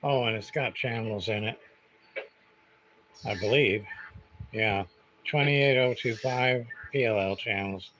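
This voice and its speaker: A man's voice: lowish voice, slow, long pauses, fairly bright tone, poor audio. A man hosting a casual livestream demonstration, thinking aloud in a hesitant, rambling, muttering way. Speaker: livestream host, CB radio repairer. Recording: clear background noise.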